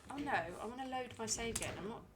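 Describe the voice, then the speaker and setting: A woman's voice: a little high, quick pace, background noise. A British woman streaming a game, talking to herself and chatting to viewers in a dry, rambling way. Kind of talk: live gameplay commentary.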